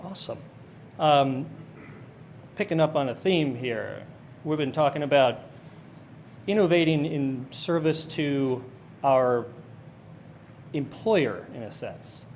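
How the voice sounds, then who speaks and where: A man's voice: medium pitch, expressive, slow pace, long pauses, a little mellow, a little hiss or hum. A man moderating a conference panel, casual and affirming, linking loosely strung phrases into a question for the next speaker.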